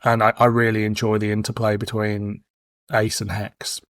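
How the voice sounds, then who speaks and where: A man's voice: fairly low pitch, monotone, normal speed, a bit bright, dry recording, studio quality. A man co-hosting a podcast, discussing casually and putting questions to his co-host.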